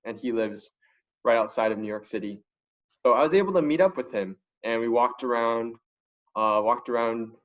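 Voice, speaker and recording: Young man, student panelist, informal and enthusiastic, mid-pitched voice, lo-fi online call sound. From China. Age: young adult. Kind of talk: casual spoken testimonial. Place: online video conference call. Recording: dull lo-fi online call audio.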